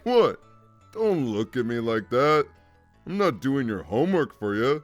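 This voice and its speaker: A man's voice: mid-range voice, big pitch swings, a little slow, dull audio, faint music behind. A man voicing a wry, drowsy, laid-back skeleton brother in a cartoon comic dub, with a casual, joking drawl. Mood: teasing.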